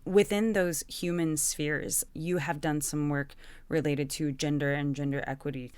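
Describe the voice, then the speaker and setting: Woman hosting a podcast, deep-ish low voice, scripted interviewer style, very dry audio. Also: steady tone, measured pace, a little mellow, clear, resonant tone, dry recording.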